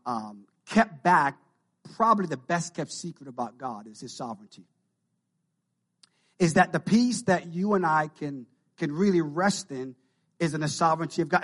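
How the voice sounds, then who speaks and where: A man with a Jamaican Canadian accent delivers a sermon, his voice pitched a little above the usual for men. He builds intensity as he goes, circling back to repeat key phrases for emphasis. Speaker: pastor.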